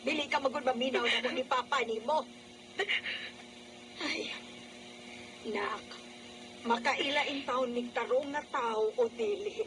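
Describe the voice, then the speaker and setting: A worried, scolding older mother with a Cebuano accent in a radio drama. The woman's voice is high and exasperated, and the recording is plainly noisy. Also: expressive, slow pace, long pauses. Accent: Cebuano Filipino. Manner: nagging, exasperated.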